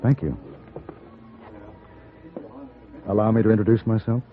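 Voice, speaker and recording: An actor playing a suave, confident, courtly gun for hire in an old-time radio western. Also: male voice, fairly low pitch, faint music behind, lightly acted. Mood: charming. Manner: courtly, measured. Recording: tinny narrow-band old radio with crowd noise.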